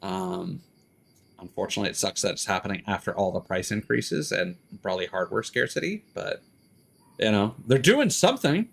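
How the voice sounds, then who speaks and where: A man's voice: lowish voice, big pitch swings, moderate pace, creaky. A man live streaming a game, chatting casually with rambling, profane, opinionated commentary. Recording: music behind the voice.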